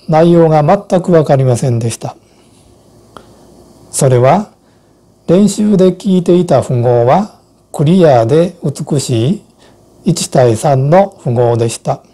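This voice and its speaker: A man in his sixties giving measured, explanatory narration from a script as an instructor. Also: medium pitch, mellow.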